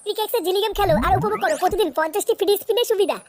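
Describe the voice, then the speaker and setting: An advertisement announcer reading a promotional sales pitch straight to viewers. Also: rapid-fire, darkish tone, a little hiss or hum. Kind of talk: promotional ad read.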